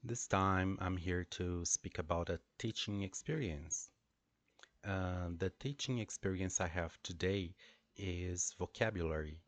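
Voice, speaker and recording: Brazilian guy, portuguese accent, low voice, super slow and halting, lots of uhs. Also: no room sound. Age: adult. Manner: hesitant, many fillers and restarts. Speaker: English teacher. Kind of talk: reflective solo talk.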